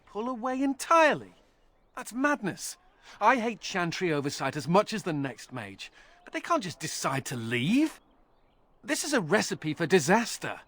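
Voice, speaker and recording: Wry, lively, lighter-voiced male mage. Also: sits high, normal speed, heavily acted. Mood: indignant. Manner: wry, informal, expressive. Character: wry, flippant, rebellious young male mage. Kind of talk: fantasy game dialogue acting.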